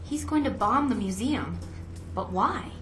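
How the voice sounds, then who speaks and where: A woman's voice: fairly high pitch, lively intonation, measured pace. A woman playing a spy's concerned, inquisitive ally in a video game, talking in an earnest, questioning way. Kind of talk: video game cutscene dialogue. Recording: roomy sound with music behind.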